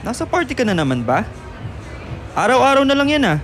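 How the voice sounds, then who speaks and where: Gentle, patient father over music. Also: extremely high pitch, big pitch swings, a little fast, full character voice. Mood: worried, pleading. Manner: gentle, coaxing. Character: loving, patient, gently teasing middle-aged father. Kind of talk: radio drama acting.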